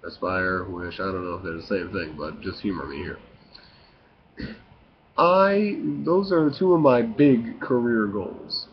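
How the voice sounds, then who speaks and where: A man in his late twenties with a low voice talks casually and confessionally, as if to his own vlog audience. His delivery is slow and halting, stopping and starting as he goes. The recording is muffled and lo-fi. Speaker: video blogger. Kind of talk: casual confessional monologue to camera. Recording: muffled lo-fi with faint hiss.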